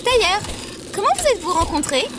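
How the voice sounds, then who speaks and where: A prim, nosy woman with an extremely high, animated voice. She needles and questions with formal disbelief in an audio drama, over clear background noise. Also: brisk. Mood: curious. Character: Constance, prim, nosy, mocking female companion listening to a story.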